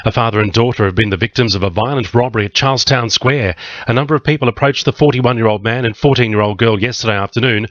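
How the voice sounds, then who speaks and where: A man's voice: medium pitch, quick pace, fairly bright tone, dry recording, dull audio. A man with an Australian accent reading a scripted radio news bulletin.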